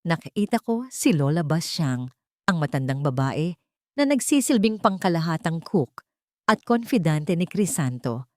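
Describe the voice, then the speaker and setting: A woman reads a dramatic first-person story. Her voice sits on the low side and moves deliberately, building suspense. The recording is close and dry, with no room sound. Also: a little mellow, no room sound. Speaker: audiobook narrator.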